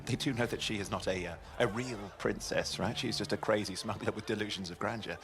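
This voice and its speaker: An actor playing a sarcastic, wisecracking male sidekick with an animated delivery in a video game cutscene. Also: fairly low pitch, a little fast, natural voice. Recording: game audio with music score.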